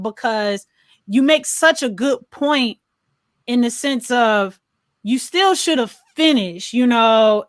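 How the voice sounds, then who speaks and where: An American woman with a fairly high voice talks in a dry, close recording. She is a podcast co-host in casual discussion, searching for words and leaning on little filler phrases as she goes. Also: fairly bright tone, no room sound.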